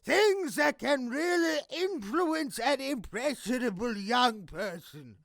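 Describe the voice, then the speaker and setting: A man's voice: very high voice, vocal fry, sharp tone, no room sound, full character voice. A man playing an outraged, controlling noble father in an audio drama, declaiming his complaints indignantly. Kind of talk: modernized Shakespeare audio drama acting.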